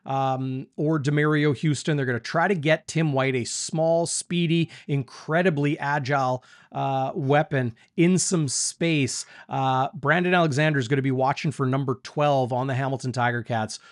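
A man's voice: mid-range voice, fairly even intonation, normal speed, no echo, clean studio sound. A man hosting a live sports talk show over a video call, upbeat and chatty. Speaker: host, sports reporter.

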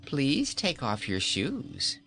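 A cruel, teasing queen, read aloud from a storybook by a man. She speaks slowly and commandingly, and her pitch climbs above his usual voice as she mocks her captives. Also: wildly swooping pitch, bright, forceful tone, full character voice. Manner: teasing, imperious. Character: cruel, mocking queen, a woman. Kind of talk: audiobook character voice.

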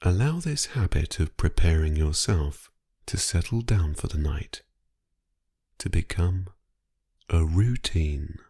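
Male sleep-meditation narrator, low-pitched and slightly husky, soothing and hypnotic, unhurried phrasing. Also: no echo, clean studio sound. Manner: calm, soothing, unhurried, with long pauses.

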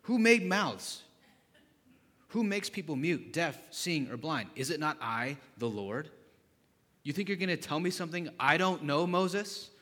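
A man voicing God as an all-powerful male creator, speaking with loud, emphatic rhetorical questions. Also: on the high side, expressive, measured pace, pitched above the performer's own voice. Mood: exasperated, booming. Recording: long reverb in large hall.

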